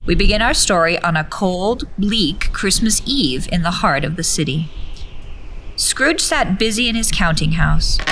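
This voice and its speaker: A woman narrates an audio drama from a script. Her voice sits slightly low, in a storyteller's reading style. There is faint noise in the recording. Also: sharp tone.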